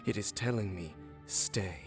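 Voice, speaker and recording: A grave, guarded knight from a fantasy video game, cursed and ageless. The voice is low, with formal wording and measured dramatic pauses, and music plays clearly behind it. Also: male voice. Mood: solemn. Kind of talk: video game character dialogue. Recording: background music under voice.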